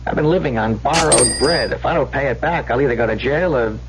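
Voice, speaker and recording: An actor playing a small-time swindler pleading with his sweetheart in an old radio drama, speaking in halting, repetitive street slang. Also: medium pitch, natural voice. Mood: bitter confessing. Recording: dull, hissy vintage radio recording with hum.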